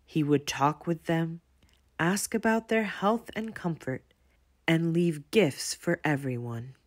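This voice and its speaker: A young woman reading aloud and reflecting for children in a deliberate, carefully enunciated way. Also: deep voice. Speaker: children's story reader and presenter. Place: indoors in a home room with windows.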